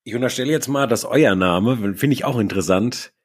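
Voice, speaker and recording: A man's voice: mid-range voice, brisk, husky. A man from Germany, a band musician, answering interview questions in an easygoing way and laughing readily. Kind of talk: casual interview chat.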